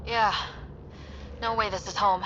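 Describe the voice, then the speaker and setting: An actress playing a determined young space explorer in a sci-fi game, earnest and resolute. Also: female voice, medium pitch, steady tone, a little slow, lightly acted. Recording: dull, clear background vehicle noise.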